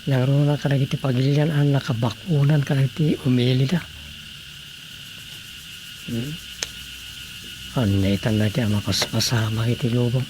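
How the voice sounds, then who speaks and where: An actor with an Ilocano Filipino accent playing a married writer who muses aloud to himself in a radio drama. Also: male voice, mid-range voice, steady tone, moderate pace, long pauses, noisy background, natural voice. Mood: musing, concerned. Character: married man, a writer musing on world troubles.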